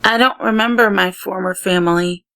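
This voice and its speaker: A woman's voice: medium pitch, normal speed, vocal fry, pushed and bright, no echo. A woman voicing a reserved, lonely young sea girl in a storybook, with a sober, matter-of-fact way of talking.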